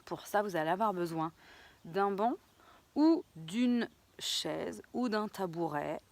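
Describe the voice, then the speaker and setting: A woman's voice: lowish voice, expressive, takes it slowly, creaky, darkish tone. A woman teaching a dance routine step by step, counting beats and humming the rhythm as she moves. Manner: demonstrates while talking, counting beats and sung syllables.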